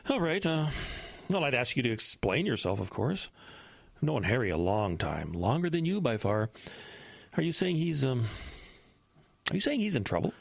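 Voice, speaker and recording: A man's voice: medium pitch, sing-song, moderate pace, creaky, no echo. A man acting a concerned, cautious church acquaintance in an audio drama, hesitant, folksy and tactful. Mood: tactful, puzzled.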